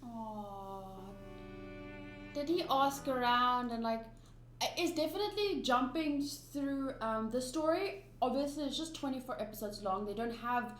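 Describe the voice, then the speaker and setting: A woman's voice: a little high, expressive, a little slow, soft background music. Rambling woman in a roomy space. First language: Afrikaans. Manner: rambling, emphatic, many restarts and fillers. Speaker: anime reaction YouTuber. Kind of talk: casual reaction commentary. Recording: roomy echo with faint hum.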